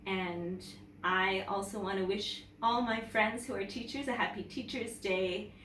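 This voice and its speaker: A woman teacher reading a children's story aloud in an expressive, animated way, talking directly to young viewers. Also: mid-range voice. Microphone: camera mic at some distance. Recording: roomy, distant mic with background noise.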